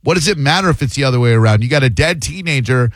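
A man's voice: medium pitch, lively intonation, no echo. A male radio host taking calls and ranting bluntly, often mocking his callers. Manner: blunt, mocking, quick to rant. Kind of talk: call-in radio banter.

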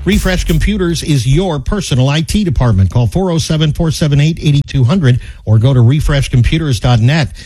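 Radio traffic guy doing a brisk scripted read, mellow husky voice, faint music. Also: a little high, expressive, rough recording.

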